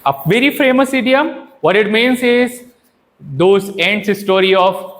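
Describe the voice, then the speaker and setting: Male trainer, Indian accent, high voice with big melodic swings; emphatic stage delivery, deliberate pauses. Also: lively intonation, a bit bright. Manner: theatrical, emphatic, rhetorical questions and deliberate pauses. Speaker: public speaking trainer at a Toastmasters session. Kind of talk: live workshop talk, impromptu teaching with personal anecdotes.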